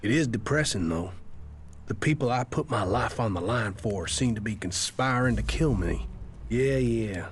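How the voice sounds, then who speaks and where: A man's voice: fairly low pitch, lively intonation, moderate pace, slight background noise, natural voice. A man playing a betrayed outlaw in a video game, sardonic and rueful. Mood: bitter, wry. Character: wry, betrayed outlaw rogue.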